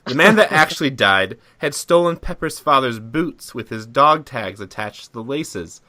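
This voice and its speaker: A man's voice: mid-range voice, animated, moderate pace, bright, forceful tone, no room sound. A man hosting a comedy podcast, giggly and easily cracked up as he chats and reads aloud casually. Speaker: podcast host. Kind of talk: casual comic podcast chat, reading a summary aloud.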